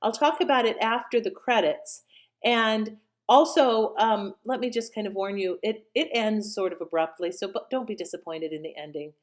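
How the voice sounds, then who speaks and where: Woman host doing a casual intro, medium pitch, chatty and informal. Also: normal speed. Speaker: podcast host introducing old radio drama.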